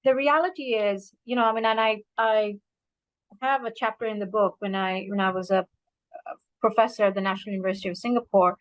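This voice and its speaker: Woman in her fifties from Bangladesh, reflective talk, lots of "um" and "you know", mid pitch, close dry sound. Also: fairly even intonation, a little fast, dry recording, low-quality recording. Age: 50s. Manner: many fillers, earnest and reflective. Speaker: alumna speaker. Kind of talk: personal talk to an audience.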